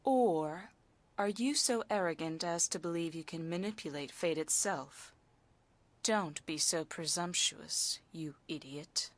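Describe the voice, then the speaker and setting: An actress playing a stern, haughty fortune teller in a video game, speaking in lofty, scolding, formal phrases. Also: female voice, lowish voice, a little slow. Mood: stern, scornful. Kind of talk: dramatic video game cutscene acting.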